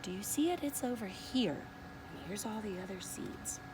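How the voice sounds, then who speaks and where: A woman preschool teacher reading a picture book aloud to young children in an expressive, deliberate way, with softer asides inviting them to look. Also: medium pitch, background noise. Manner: expressive, deliberate, often pausing to ask the listener to look.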